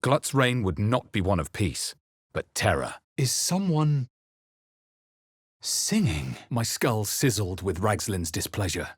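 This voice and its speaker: Game hero: grave male adventurer, deep and rough-edged, theatrical, measured, recorded dry and close. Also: very animated, dry recording, full character voice. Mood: alert. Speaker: video game player character. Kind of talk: dramatic fantasy game narration, barks and spell incantations.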